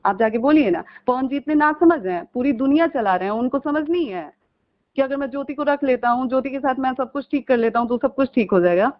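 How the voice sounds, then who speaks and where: A woman's voice: high-pitched, expressive, fast talker. A young woman, arguing on a recorded phone call in an insistent, pleading way and repeating herself. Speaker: caller. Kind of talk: heated private phone conversation.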